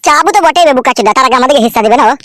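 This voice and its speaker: গ্রামবাংলার টানে একজন পুরুষ কার্টুনে এক মুখখারাপ, ফন্দিবাজ, খোঁচা-মারা চ্যালার গলা দিচ্ছে।. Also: male voice, very high-pitched, very fast, sharp tone, dry recording, studio quality. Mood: scheming. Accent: rural West Bengali. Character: cheeky, scheming henchman, crude and foul-mouthed. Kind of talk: amateur comedy cartoon dub.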